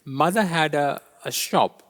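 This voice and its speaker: A middle-aged man from Kenya whose first language is Gujarati, answering interview questions thoughtfully with many pauses. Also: a little high, a bit bright. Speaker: interview guest. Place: large church. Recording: long reverb of a large church hall.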